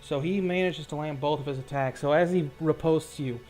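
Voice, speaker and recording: A man with a slightly high voice runs a tabletop roleplaying game as game master. He describes combat in vivid, gory detail, then drops into plain rules talk. Faint music plays along with his voice. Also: a little fast, a little mellow.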